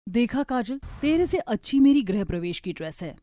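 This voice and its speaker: A woman's voice: on the high side, animated, moderate pace, warm tone, natural voice. A woman voicing a dramatic young bride and sister in a cartoon family melodrama. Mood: boastful.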